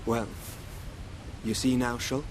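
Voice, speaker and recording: A man voicing a calm, enigmatic seer in a video game, speaking in a formal, measured and cryptic way. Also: mid-range voice, background noise, lightly acted. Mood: knowing.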